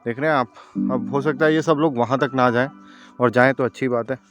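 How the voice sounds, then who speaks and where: Man from India, travel vlogger, mid-pitch, chatty and direct to camera, outdoors on a trail, handheld camera, faint music underneath. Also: fairly even intonation, brisk. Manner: chatty, talks directly to viewers. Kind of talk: casual travel vlog narration.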